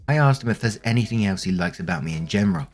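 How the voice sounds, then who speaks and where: Man, narrator, reading posts aloud, low voice, faint music behind. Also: racing. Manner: plain, steady reading with brief casual asides. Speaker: narrator of online stories.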